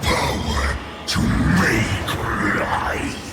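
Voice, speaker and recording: Wildly swooping undead alien warlord. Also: male voice, lowish voice, very animated, full character voice. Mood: fanatical, triumphant. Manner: slow, grandiose, broken declamatory phrasing. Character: zealous undead alien warlord, proclaiming himself blessed. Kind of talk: theatrical video game villain acting. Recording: loud music behind.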